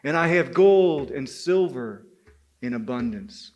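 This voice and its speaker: A man's voice: mid-range voice, expressive, slow, a little mellow, slight background noise. A man voicing a powerful wild man of the forest from a folk tale, stern but kindly, speaking in solemn, commanding declarations. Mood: solemn blessing.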